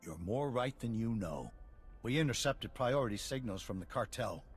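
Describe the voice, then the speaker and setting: A grave, measured leader from a sci-fi game. His mid-pitched voice is husky, with faint music behind it. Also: expressive. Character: earnest, grave male colonial resistance leader. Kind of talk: video game cutscene acting.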